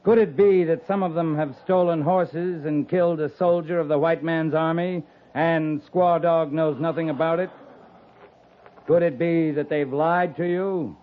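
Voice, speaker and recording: A man's voice: a little high, steady tone, measured pace, a little hiss or hum, natural voice. Muffled, stern cavalry captain acted by a man. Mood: stern, challenging. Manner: commanding, clipped, sternly challenging. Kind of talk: 1950s radio western acting. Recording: muffled lo-fi 1950s radio recording.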